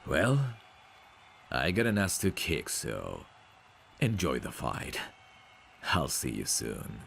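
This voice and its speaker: A man's voice: a bass voice, wildly swooping pitch, slow, gravelly, a bit bright, a little hiss or hum, exaggerated voice. A man playing a cocky, smug prizefighter in an ASMR roleplay audio drama, with a boastful, teasing, self-assured manner. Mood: smooth, teasing. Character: cocky, smug male prizefighter, proud of his looks. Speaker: voice actor.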